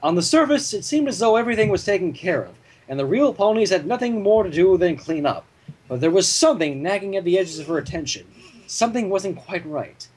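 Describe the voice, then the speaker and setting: Male story reader, husky tone, very lively pitch movement, informal and playful with asides. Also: brisk, low-quality recording. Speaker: fan fiction reader. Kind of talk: animated story narration with joking asides.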